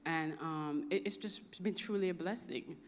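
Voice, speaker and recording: A middle-aged woman from Jamaica with a Jamaican accent, speaking as a guest doctor in an interview. Her voice is fairly low for a woman, and she tells her story warmly and with life. A long hall reverb trails her words. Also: unhurried, slight background noise. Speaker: guest physician. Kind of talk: interview answers.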